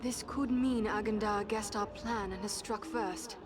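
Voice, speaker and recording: A woman's voice: medium pitch, fairly even intonation, unhurried, noisy background, lightly acted. A woman playing a composed, learned elf adventurer in a fantasy video game, speaking formally and precisely. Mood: concerned. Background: loud background music.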